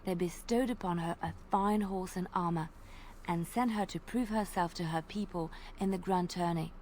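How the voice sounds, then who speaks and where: A woman voicing a gentle bard storyteller. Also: on the low side, slight background noise, natural voice. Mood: storytelling. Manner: measured, lilting storytelling. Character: graceful female bard, gentle devout storyteller. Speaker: game character. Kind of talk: voiced game dialogue.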